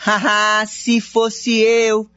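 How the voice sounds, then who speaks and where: Uma atriz brasileira de radionovela interpretando uma mulher invejosa, fofoqueira e de língua afiada, com fala cheia de desdém. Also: female voice, on the high side, completely flat delivery, extremely slow, fairly bright tone, no room sound, soft background music. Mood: gossipy. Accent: Brazilian. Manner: scornful, gossipy delivery. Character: envious, gossiping niece, sharp-tongued and spiteful. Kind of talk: radio soap acting. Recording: dull, lo-fi dry radio drama recording.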